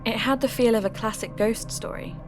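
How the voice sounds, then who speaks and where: A woman playing a radio host turned investigator, giving measured, reflective first-person narration for a scripted podcast drama. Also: a little high, close to an everyday voice. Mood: reflective, wistful. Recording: music under the voice.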